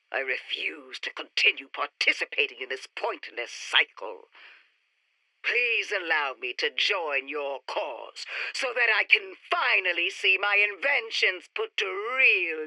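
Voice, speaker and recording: An actress playing an ambitious, coolly scheming alien inventor prodigy in a retro sci-fi radio play, speaking formally and precisely. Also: female voice, fairly low pitch, bright, forceful tone, dry recording, full character voice, poor audio. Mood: confident, persuasive. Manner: formal, precise, self-important.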